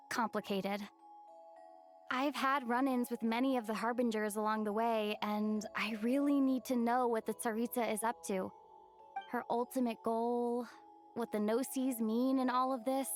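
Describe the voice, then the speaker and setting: An actress playing a composed, determined young traveler in a video game, speaking in a measured, earnest way. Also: female voice, on the high side, steady tone, faint music behind, natural voice. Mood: resolute. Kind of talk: video game dialogue acting.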